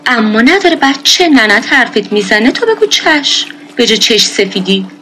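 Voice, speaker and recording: A woman's voice: fairly high pitch, big pitch swings, bright, forceful tone, soft background music, low-quality recording. A woman playing a proud, fretful middle-aged village mother, folksy and lamenting, in a period radio drama. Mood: pleading, scolding. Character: proud, fretful village-born mother, khan's daughter, doting and scolding.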